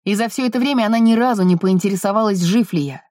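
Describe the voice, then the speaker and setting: A woman's voice: mid-range voice, fast, darkish tone, no echo, clean studio sound, close to an everyday voice. A woman voicing a troubled, bitter husband of almost forty in an audiobook, terse and challenging. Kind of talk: audiobook character dialogue.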